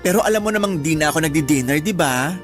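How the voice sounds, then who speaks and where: An actress playing a blunt, protective big-sister friend in a Tagalog radio drama, teasing in slangy talk with a Filipino accent. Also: female voice, low voice, animated, fast. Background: background music.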